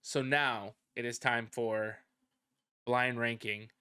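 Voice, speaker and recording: A man's voice: mid-range voice, steady tone, takes it slowly, sharp tone, smooth, no echo, clean studio sound. A young man whose first language is Spanish, co-hosting a podcast in casual, boastful banter. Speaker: podcast co-host. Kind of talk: casual chat.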